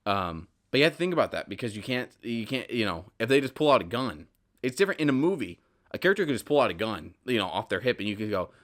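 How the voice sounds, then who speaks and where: Low-pitched American guy rambling casually. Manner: rambling, animated when acting out examples. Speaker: podcast host. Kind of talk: casual solo podcast monologue.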